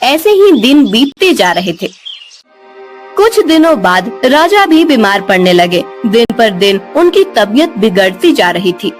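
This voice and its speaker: A woman's voice: fairly high pitch, very animated, measured pace. Casting: female fairy tale narrator, scripted storytelling, very varied melody, light music bed.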